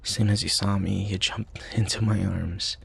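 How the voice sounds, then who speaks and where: A man playing a young college student, a protective, teasing and tender best friend, in an intimate ASMR roleplay with soft, sighing, hesitant delivery. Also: low-pitched, fairly even intonation, moderate pace, full character voice. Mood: tender, caring. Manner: soft, sighing, hesitant stammers, breathy asides.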